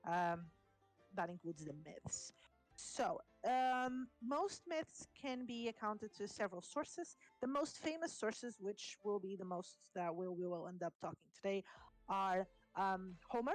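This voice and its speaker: A woman from Portugal, a native Portuguese speaker, explaining mythology in casual podcast chat, pausing often with ums. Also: medium pitch, rough recording. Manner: hesitant, many ums and restarts. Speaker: podcast co-host, explaining history.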